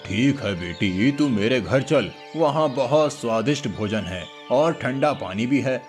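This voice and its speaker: A man's voice: mid-range voice, lively intonation, unhurried, natural voice. A man voicing a patient, fatherly old cowherd grandfather in a cartoon story. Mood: gentle. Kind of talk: Hindi cartoon moral story dialogue. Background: music.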